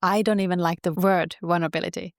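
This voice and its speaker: A woman with a Finnish accent, a podcast guest speaking in an earnest, measured way. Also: on the low side, steady tone, dry recording, clean studio sound. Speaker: podcast guest, health coach. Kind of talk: reflective interview talk.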